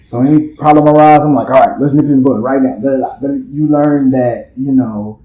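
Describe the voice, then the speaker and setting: A man's voice: medium pitch, fairly quick, warm tone. A man hosting a casual interview chat, talking in a conversational way. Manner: conversational, with frequent affirming backchannels. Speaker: host. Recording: dull, roomy sound.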